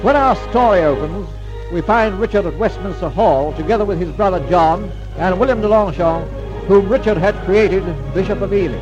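Old time radio guy narrating, high-ish voice, grand and dramatic, loud music behind. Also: moderate pace, muffled recording. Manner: grand, declamatory. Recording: vintage radio recording under music.

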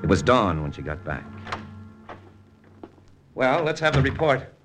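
An actor playing a brooding, jealous American lieutenant and undercover agent, terse and clipped, with an American accent, in 1940s-50s radio drama style. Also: male voice, mid-range voice, very animated, measured pace, over music. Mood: stiff, curt. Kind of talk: 1940s-50s radio drama acting and first-person narration.

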